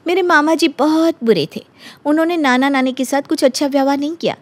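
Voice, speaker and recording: A woman voicing a soft-hearted, earnest and anxious young woman, plaintive and sincere, in an animated story. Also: high-pitched, brisk, voice pushed up, close to an everyday voice. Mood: wistful. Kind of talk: animated Hindi story character acting.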